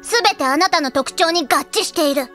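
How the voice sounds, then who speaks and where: A woman's voice: very high-pitched, brisk, fairly bright tone, soft background music. A woman playing a self-serious little girl acting as a hard-boiled detective, delivering dramatic deductions in an anime audio drama. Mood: solemn accusation.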